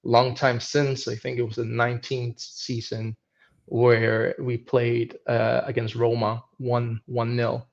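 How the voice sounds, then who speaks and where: Gravelly-voiced man in his thirties from Venezuela, halting guest talk over dull lo-fi call audio. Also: medium pitch, monotone. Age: 30s. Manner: hesitant, many restarts and fillers. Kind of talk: casual podcast chat.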